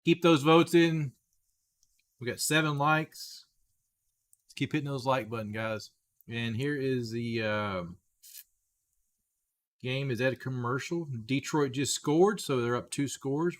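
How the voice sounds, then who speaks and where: A man in his forties with a tiring, slightly hoarse voice, casually hosting a live sports stream as a folksy, excitable fan. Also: mid-range voice, slow, pauses often. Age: 40s. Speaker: livestream host.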